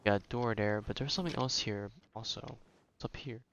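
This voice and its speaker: A man's voice: lowish voice, normal speed, gravelly, a bit bright, highs cut off, slight background noise. A man giving casual live gameplay commentary as a streamer, slangy and rambling as he thinks aloud. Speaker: game streamer.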